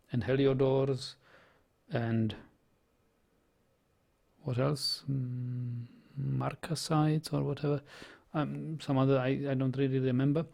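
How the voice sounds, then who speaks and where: A man talks slowly through his game, pausing and restarting his sentences. His voice has a gravelly, creaky edge and sits at an ordinary pitch. He muses aloud and is in no hurry. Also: dark tone. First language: Czech. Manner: hesitant, musing, many ums and restarts. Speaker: let's play host. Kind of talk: gameplay commentary.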